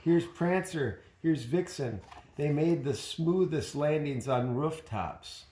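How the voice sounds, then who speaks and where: A man reading aloud as jolly old Santa Claus, speaking in measured, deliberate pronouncements. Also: on the high side, warm tone. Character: jolly old Santa Claus choosing his reindeer team. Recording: roomy home recording.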